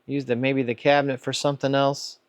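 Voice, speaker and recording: A man's voice: mid-range voice, level tone, a little fast, dry recording. A man in his fifties chatting casually and unscripted as a hobby video host, in an easygoing, rambling, folksy way. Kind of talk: casual vlog chat, unscripted.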